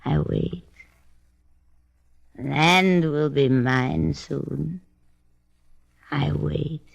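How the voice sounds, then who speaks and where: Brooding old crone, very slow gravelly delivery, halting broken English, vintage radio mystery. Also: female voice, low-pitched, highs cut off, heavily acted. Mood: ominous. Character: old bayou woman, brooding, vengeful, last of her family. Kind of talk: old-time radio drama acting.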